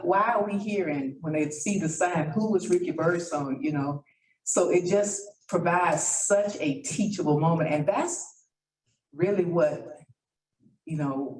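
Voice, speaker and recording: A woman answers a panel question at an unhurried, deliberate pace, stumbling and repeating small words as she thinks. She is heard from some distance in a reverberant room, with a faint hiss under her voice. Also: sits low, a little mellow. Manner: slow, halting, repeats words. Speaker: panel guest, race founder. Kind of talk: panel discussion. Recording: roomy, distant mic, faint noise.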